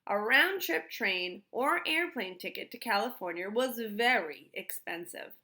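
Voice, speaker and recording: A woman voicing a practical, thrifty father in a children's story, in a measured, deliberate manner. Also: mid-range voice, animated, pushed and bright. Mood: resigned.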